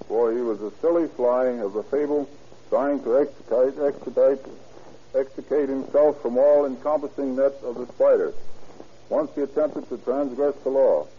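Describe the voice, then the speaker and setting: A man's voice: mid-range voice, husky. A man reading scripted 1930s radio narration as a police captain, stiff and formal. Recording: tinny, hissy old radio recording.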